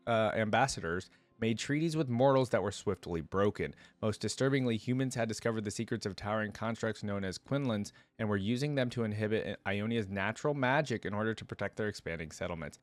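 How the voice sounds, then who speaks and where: A man with a fairly low voice hosts a reaction video in a very dry-sounding recording. He moves between reading text aloud and informal commentary, talking conversationally and dropping in asides. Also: dry recording.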